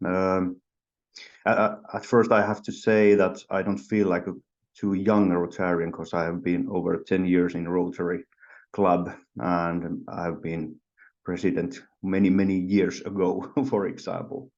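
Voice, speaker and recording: A man's voice: deep voice, level tone, unhurried, darkish tone, lo-fi sound. A man from Finland speaking on a video call, hesitant and full of fillers. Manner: hesitant, many fillers and restarts. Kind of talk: personal talk on video call.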